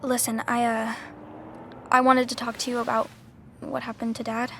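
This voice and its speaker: A woman's voice: fairly high pitch, level tone, moderate pace, fairly bright tone, slight background noise. A woman playing a grieving, candid young woman in a video game, earnest and hesitant. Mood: troubled, pleading. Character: grieving young woman, candid, insistent, doubting her father's death. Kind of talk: naturalistic video game acting.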